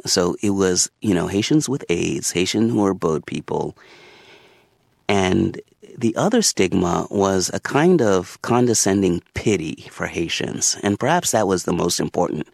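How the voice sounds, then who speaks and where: This man's voice sits very low and grinds with heavy fry. It has a husky edge, and the sound is close and dry. He answers interview questions in a slow, thoughtful, deliberate way. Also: animated, a little mellow, dry recording. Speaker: author and interview guest.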